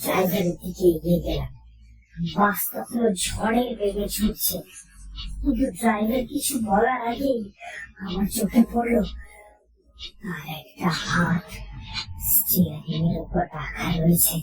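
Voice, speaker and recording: A woman telling a horror story in the first person, in a slow and tense manner. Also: medium pitch, lively intonation. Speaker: narrator. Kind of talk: scripted horror story narration. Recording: echoey, distant, under music.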